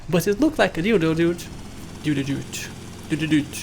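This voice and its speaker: A man's voice: a little high, animated, moderate pace, creaky, a little mellow, a little hiss or hum. A man with a Bulgarian accent giving casual, playful gameplay commentary, chatting to viewers and often laughing at himself. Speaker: gaming YouTuber.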